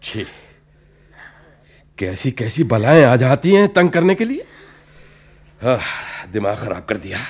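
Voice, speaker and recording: A man's voice: mid-range voice, very animated, takes it slowly, long pauses, darkish tone. A man acting in a radio play as a self-centred, irritable film celebrity, condescending and exclaiming, with English phrases mixed in. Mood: irritated, then coaxing.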